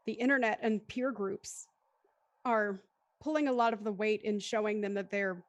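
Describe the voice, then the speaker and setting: A woman's voice: medium pitch, steady tone, moderate pace. A woman in her thirties hosting a post-show discussion, speaking in a careful, wordy, articulate way. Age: 30s. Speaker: host and moderator.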